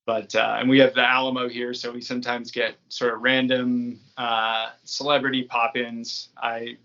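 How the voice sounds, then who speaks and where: A man's voice: medium pitch, level tone, normal speed, sharp tone, dull audio. An American man from New England chatting casually as an interview guest, in an easygoing, rambling way. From New England, United States. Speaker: podcast creator, interview guest.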